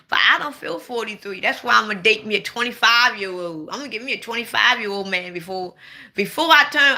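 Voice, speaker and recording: Woman, 40s, very bright sharp tone, blunt, playful, loud bursts. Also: medium pitch. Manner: blunt, playful, swings to sudden loud outbursts. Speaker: livestream host. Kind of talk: casual livestream chat.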